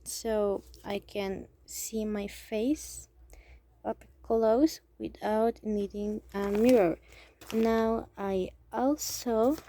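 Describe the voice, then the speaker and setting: A woman's voice: medium pitch, steady tone. A young woman from Mexico with a Mexican Spanish accent, English her second language, talking casually and hesitantly through a drawing tutorial, sometimes dropping to a near whisper. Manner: hesitant, halting, thinking aloud; soft-spoken, drops to near whisper. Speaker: amateur artist.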